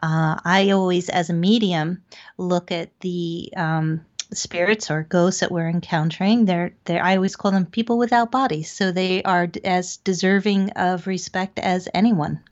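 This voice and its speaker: Low-pitched middle-aged woman, lo-fi audio. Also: steady tone, moderate pace. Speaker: interview guest, psychic medium. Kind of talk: conversational interview answers. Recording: dull lo-fi call audio.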